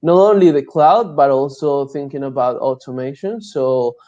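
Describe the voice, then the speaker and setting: Mellow Colombian-accented man on a dull call. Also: medium pitch, moderate pace. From Colombia, Bogota. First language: Spanish. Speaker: interview guest, DevOps engineer. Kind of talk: casual interview answers. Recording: dull lo-fi online call sound.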